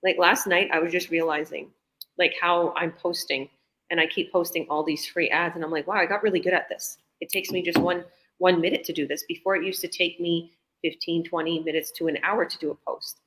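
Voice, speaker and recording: A woman's voice: lowish voice, steady tone, a little fast, fairly bright tone, poor audio. A woman, an online business owner, chatting enthusiastically on a group video call with many restarts.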